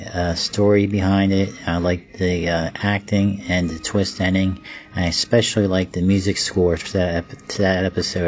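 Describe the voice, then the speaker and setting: Male podcast listener, deep voice, plain careful review delivery, slightly awkward, on a dull homemade recording. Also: darkish tone. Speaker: listener sending audio feedback to a podcast. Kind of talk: informal prepared review, answering questions. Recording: dull lo-fi amateur home recording.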